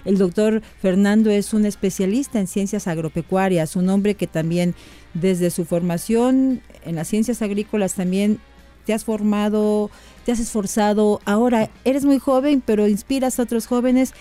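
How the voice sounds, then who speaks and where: A woman hosts an interview, chatty and effusive as she welcomes guests and sends greetings. Her voice is mellow and relaxed rather than bright. Soft music plays faintly behind her. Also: mid-range voice, normal speed. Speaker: host. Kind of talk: interviewing guests on a farming show.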